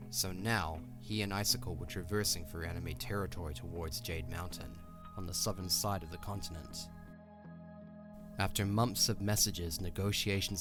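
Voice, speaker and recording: A man narrating a fantasy audio drama as a scripted storyteller. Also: low voice.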